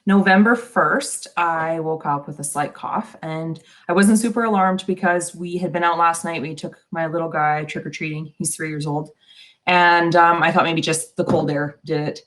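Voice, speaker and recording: A woman in her thirties with a notably low voice talks in an easygoing, chatty way. It sounds like a relaxed interview answer. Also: normal speed. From Saskatchewan, Canada. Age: 30s. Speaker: marketing consultant. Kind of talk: interview recollection.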